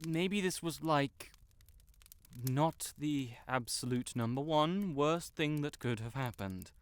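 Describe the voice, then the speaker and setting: A man playing a proud, flustered young wizard in an intimate fantasy audio roleplay, halting and defensive yet earnest. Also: on the high side, a bit bright, smooth, exaggerated voice. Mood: sheepish, tender. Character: proud, stuck-up young male wizard, flustered tsundere best friend in love.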